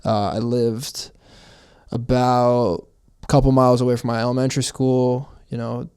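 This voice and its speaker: A young man from New Jersey, a pro soccer player, chatting casually as a guest with relaxed, repetitive phrasing. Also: mid-range voice, moderate pace, smooth. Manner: relaxed, repeats phrases, says "man" and "you know". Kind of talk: casual interview chat.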